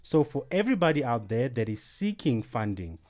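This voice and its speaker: A man's voice: medium pitch, big pitch swings, brisk, darkish tone. A man hosting a radio show, reading out listener messages and giving upbeat sign-offs. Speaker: radio host.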